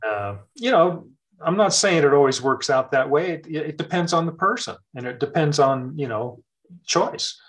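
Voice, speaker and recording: A man's voice: a little high, lively intonation, moderate pace, a bit bright. Reflective man talking faith, full of fillers. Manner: thoughtful, many fillers and restarts. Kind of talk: casual faith conversation.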